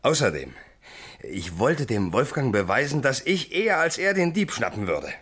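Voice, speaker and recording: An actor playing a blunt, somewhat sheepish ex-convict in a German radio crime drama, talking colloquially. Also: male voice, mid-range voice, very animated, normal speed, a bit bright, breathy edge. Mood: confessing. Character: blunt, sheepish male ex-convict amateur sleuth.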